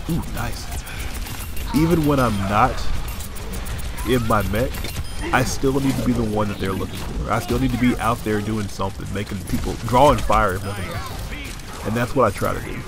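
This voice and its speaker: A man commentating his own gameplay, explaining tactics in a coaching tone with quick excited asides, his nose a little stuffy. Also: fairly low pitch.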